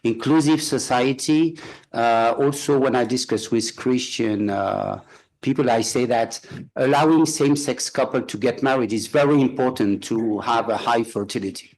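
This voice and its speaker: A man from France, giving unscripted remarks as a symposium panelist, pausing often with "uh" and restarting his sentences. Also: mid-range voice, darkish tone, rough recording. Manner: halting, with frequent "uh" pauses and restarts.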